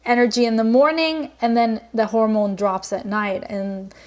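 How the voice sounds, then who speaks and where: A woman's voice: on the high side, steady tone, moderate pace, darkish tone. A woman from Lebanon talking as a podcast guest in an earnest, reflective way, with many fillers and restarts. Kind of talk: casual conversation.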